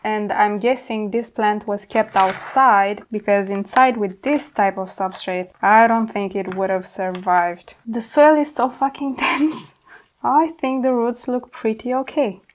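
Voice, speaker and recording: A woman's voice: mid-range voice, fairly even intonation, unhurried, slight background noise. A young woman hosting a plant vlog, talking casually and candidly as she works with her hands, informal and mildly sweary. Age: young adult. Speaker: plant channel host. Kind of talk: casual hands-on tutorial chat. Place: indoor plant studio at a worktable.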